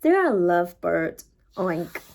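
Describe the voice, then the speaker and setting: A woman voicing a calm, helpful little pig from a children's storybook, answering matter-of-factly in short lines. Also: on the low side, slow, creaky, slight background noise, voice pushed down, heavily acted.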